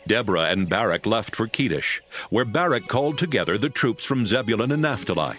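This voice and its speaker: A man narrating scripture in a steady, measured storytelling voice. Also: lowish voice. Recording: narration over background music.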